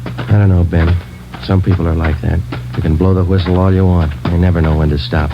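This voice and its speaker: An actor playing a terse, weary police detective sergeant who narrates his case in a clipped, deadpan way, in a 1950s radio drama. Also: low voice, fairly quick, loud music behind the voice. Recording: dull old radio broadcast with hiss.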